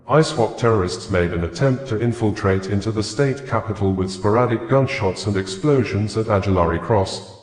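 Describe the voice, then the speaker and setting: A man reading scripted news reports in a formal, measured manner. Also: lowish voice, a little mellow. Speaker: news reader. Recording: echoey, reverberant room sound.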